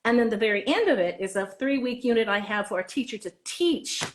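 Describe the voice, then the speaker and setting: Female interview guest, author-teacher type, higher voice, conversational and chatty. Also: expressive, fairly quick. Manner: chatty, acts out quoted dialogue. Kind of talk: animated casual interview talk.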